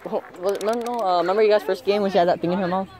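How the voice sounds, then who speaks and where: A woman's voice: medium pitch, fairly even intonation, rapid-fire. A young woman filming a girls' soccer match on her phone, chatting casually and cheering players on from the sideline. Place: outdoor soccer field sideline. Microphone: phone camera held by the speaker. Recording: phone recording with crowd noise.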